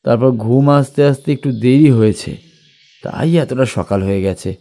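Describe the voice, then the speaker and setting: An actor playing an easygoing village gentleman who talks to his servant in a casual, familiar way in an audio story. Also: medium pitch, moderate pace, close to an everyday voice. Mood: drowsy. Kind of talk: audio story character acting.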